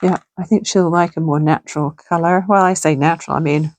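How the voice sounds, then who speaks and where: Hoarse-voiced woman chatting through a craft. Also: sits low, steady tone, fairly quick, noisy background. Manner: chatty, thinking aloud while working. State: gravelly, hoarse voice. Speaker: crafting channel host. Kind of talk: casual crafting tutorial chat. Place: home sewing room.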